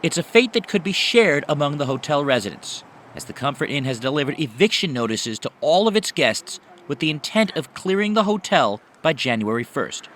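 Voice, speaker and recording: A man's voice: a little high, big pitch swings, fairly quick, a bit bright, slight background noise. An American man delivering scripted narration as a television news reporter.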